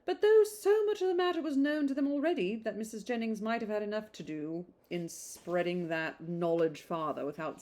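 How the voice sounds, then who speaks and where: Woman reading aloud, slightly low voice. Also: warm tone. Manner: conversational, rambling off on tangents in asides. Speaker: podcast host reading a classic novel aloud. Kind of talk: informal audiobook reading with chatty asides.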